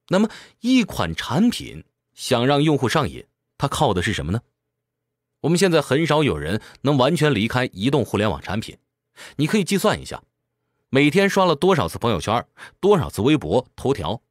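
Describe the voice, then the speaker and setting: A man's voice: medium pitch, no echo, studio quality. A man presenting a scripted book summary in a conversational explainer's manner, posing rhetorical questions as he goes.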